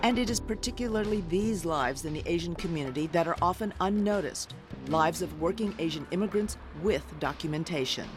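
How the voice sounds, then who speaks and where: A woman hosting and narrating a news feature in a measured, scripted reporting delivery. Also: lowish voice, animated, music in the background. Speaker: program host, narrator.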